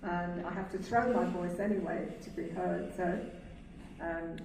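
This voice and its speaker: A soft-voiced English woman shares her story earnestly, her tone slightly low and thoughtful. She stands away from the mic, so the hall's echo wraps her words, and steady room noise sits underneath. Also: unhurried, creaky. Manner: earnest and reflective, speaks from faith. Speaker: carer speaking at a carers' event. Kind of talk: personal testimony speech. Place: event hall. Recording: echoey hall, distant mic, room noise.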